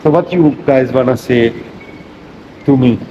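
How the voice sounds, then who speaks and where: A man's voice: medium pitch, a little slow. Playful man interviewing on a noisy street. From Pakistan. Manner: playful, teasing, simple English. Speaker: travel vlogger interviewing locals. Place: outdoors on a city street. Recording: muffled street recording with traffic noise.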